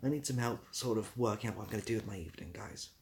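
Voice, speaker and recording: A man's voice: on the low side, very fast, slight background noise. Young man with southwest English accent. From southwest England, near Bath. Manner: rambling, self-deprecating. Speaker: livestream host and YouTuber. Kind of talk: casual livestream chat.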